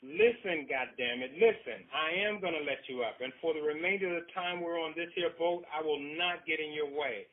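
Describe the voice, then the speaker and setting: A man voicing a hot-tempered grown man, loud and blustering, over a tinny phone-like line. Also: high-pitched, moderate pace, hardly any pauses, pitched above the performer's own voice, natural voice. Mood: threatening.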